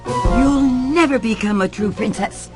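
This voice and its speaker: A woman's voice: a little high, measured pace, over music, lightly acted. An actress playing a sneaky, taunting witch villain in a children's cartoon game, with a theatrical delivery. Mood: gleeful taunting.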